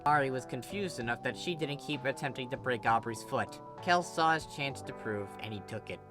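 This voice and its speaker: A woman reading fan fiction as scripted narration, in an expressive, dramatic manner. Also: rumbling low, normal speed, over music. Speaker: story reader.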